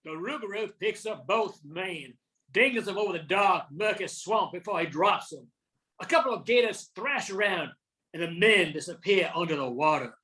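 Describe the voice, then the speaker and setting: Older female narrator, bright and forceful tone, scripted folk-tale storytelling. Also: fairly low pitch, expressive, moderate pace, rough recording.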